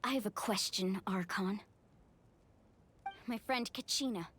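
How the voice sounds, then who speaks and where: A woman's voice: medium pitch, measured pace, fairly bright tone, slight background noise, lightly acted. A woman voice-acting a fiery, loyal young woman in a video game, speaking emphatically and earnestly. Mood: worried, pleading. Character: fiery, loyal young woman defending her missing friend.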